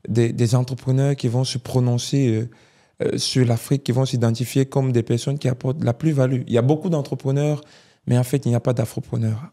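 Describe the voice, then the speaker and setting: A man's voice: mid-range voice, fairly even intonation, fairly quick, dark tone. A man hosting a business interview show, giving formal introductions and asking long probing questions. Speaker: interviewer host.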